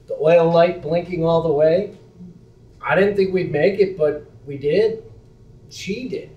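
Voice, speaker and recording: A man's voice: fairly high pitch, close to an everyday voice. A young man on a small theater stage playing a skeptical, blunt best friend with a sentimental side, talking in a dry, sardonic way. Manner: dry, sardonic, then heartfelt storytelling. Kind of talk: live stage acting. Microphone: far room mic. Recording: roomy theater sound from far off.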